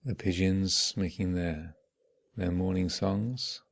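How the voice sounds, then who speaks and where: A man leading a guided meditation as a teacher, speaking in measured, contemplative short phrases with long reflective pauses. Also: sits low, fairly even intonation, vocal fry. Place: meditation retreat hall.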